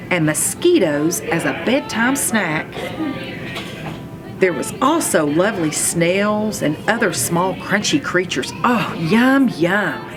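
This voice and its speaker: Female storybook reader for young children: playful, very lively intonation, slow delivery. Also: fairly low pitch. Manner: expressive, playful, acts out sounds and exclamations. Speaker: read-aloud reader, school superintendent. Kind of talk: animated children's storybook read-aloud.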